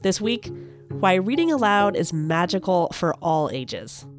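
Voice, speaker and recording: A woman's voice: fairly low pitch, very animated, a little slow, music in the background. An American woman hosting a podcast, moving between scripted narration and interview questions. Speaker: podcast host.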